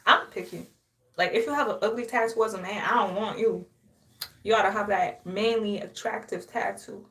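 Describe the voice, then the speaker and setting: A woman's voice: medium pitch, a bit bright. A young woman from Haiti chatting casually on a live stream, sassy, boastful and playful, often laughing. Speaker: livestreamer.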